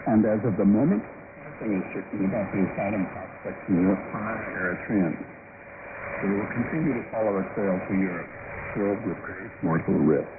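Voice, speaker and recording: A man's voice: fairly low pitch, fairly quick, phone-quality sound, heavy background noise. A man narrating a scripted radio news report as a reporter, heard over a shortwave broadcast.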